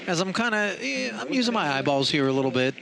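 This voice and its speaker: A man doing live play-by-play commentary on a poker game, chatty and analytical with quick asides. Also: high voice, sing-song, gravelly. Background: table chatter of players.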